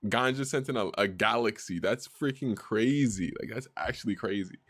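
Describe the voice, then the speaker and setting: A man live streaming and chatting excitedly, often repeating words in quick bursts. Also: fairly low pitch. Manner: excitable, repeats himself rapidly.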